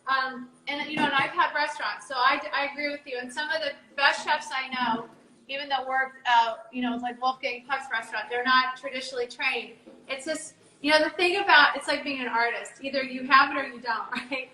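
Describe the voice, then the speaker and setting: A woman's voice: sits high, steady tone, fairly quick, bright, forceful tone, echoey room, rough recording. An American woman hosting a live cooking stream from her kitchen, chatting in a rambling way with many restarts. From United States. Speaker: cooking show host. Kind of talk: casual live-stream chat. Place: home kitchen.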